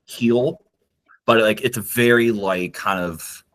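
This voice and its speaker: A man with a somewhat low voice, chatting casually on a podcast. He talks hesitantly and leans heavily on fillers as he looks for words. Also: slow pace, a bit bright. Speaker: podcast co-host. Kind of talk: casual chat.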